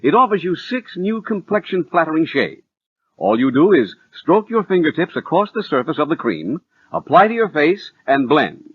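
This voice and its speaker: A man's voice: on the high side, big pitch swings, moderate pace, husky, lo-fi sound. A man announcing a vintage radio cosmetics commercial, emphatic and persuasive, on an old recording.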